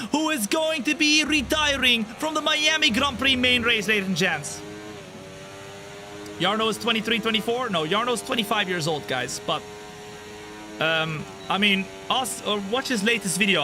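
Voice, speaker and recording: A man in his twenties doing live commentary on a racing game while chatting with viewers, excitable during race action and relaxed in between. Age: 20s. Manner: excitable, jumps high when the race action happens, laid-back when chatting. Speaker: sim racing streamer. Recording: game music behind the voice. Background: race car engines and game music.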